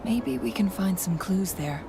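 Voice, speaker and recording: An actress playing a thoughtful young woman companion in a game, speaking in a measured, reflective way and often musing softly. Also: medium pitch, expressive, gravelly, noisy background. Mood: pondering. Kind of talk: scripted game dialogue. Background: game music.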